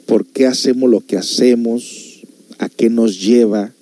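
A man's voice: medium pitch, steady tone, measured pace, warm tone. A man hosting a show, talking casually to listeners in a musing, conversational way with rhetorical questions. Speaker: host of a music and reflection show.

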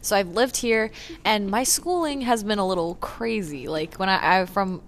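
A teenage girl from the Houston area chats on a podcast with a friend, teasing and rambling with frequent laughter. Her voice sits at an ordinary pitch, with a faint hum in the background. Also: moderate pace. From Houston area, Texas. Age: teens. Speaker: podcast co-host. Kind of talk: casual chat with a friend.

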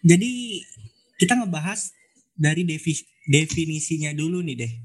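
A man's voice: a little high, animated, moderate pace. A man, hosting a casual podcast in a chatty, informal way that often repeats words. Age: 20s. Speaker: podcast host, law student.

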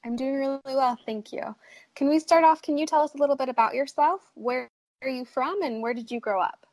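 Podcast host, woman from Idaho, bright higher voice, upbeat and encouraging, dry close sound. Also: fairly quick, no room sound. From United States, southern Idaho. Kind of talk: podcast interview.

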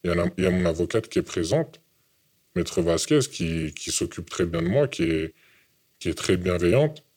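Young man, very low pitch, halting interviewee who keeps repeating words. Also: animated, darkish tone. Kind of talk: testimony interview. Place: hidden from camera.

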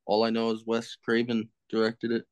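A man's voice: mid-range voice, monotone, moderate pace, darkish tone, clear, resonant tone, dry recording. A man guesting on a podcast, chatting casually, searching aloud for answers and cursing at himself when he blanks. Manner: fumbling, self-deprecating, swears when stuck. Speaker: podcast guest, film critic. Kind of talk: casual chat.

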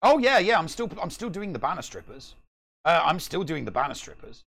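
A man's voice: fairly high pitch, very animated, fairly quick, vocal fry, a bit bright. A man co-hosting a casual gaming podcast, speaking emphatically and repeating phrases for emphasis. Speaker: podcast co-host.

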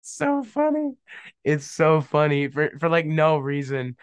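A man's voice: on the high side, sing-song, unhurried, clear, resonant tone, no echo. A young man hosting a podcast, talking in a rambling, slangy way and laughing often, with a stuffed-up nose from a cold. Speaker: podcast host. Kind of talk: casual solo chat.

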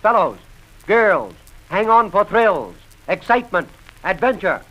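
A man delivers an old-time radio sponsor pitch in short, rousing phrases. His voice is high and punchy on a crackly vintage recording. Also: big pitch swings, measured pace, a bit bright, highs cut off. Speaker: radio announcer.

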